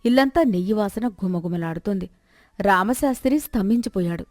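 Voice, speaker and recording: A woman's voice: medium pitch, lively intonation, fairly quick, a little mellow, no room sound. Woman narrating a scripted moral story.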